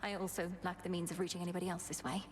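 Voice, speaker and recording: An actress playing a determined young princess and sister in a video game, earnest, formal and urgent. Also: female voice, on the low side, flat delivery, rapid-fire, natural voice. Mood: chiding. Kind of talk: video game dialogue acting.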